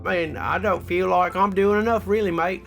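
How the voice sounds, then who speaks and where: A man's voice: sits high, fairly even intonation, normal speed, gravelly, fairly bright tone, faint music behind. A man doing a put-on as an earnest male co-host, rambling with lots of mate and you know. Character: earnest male Aussie co-host bloke, fond of commercials. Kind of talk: improvised comedy banter.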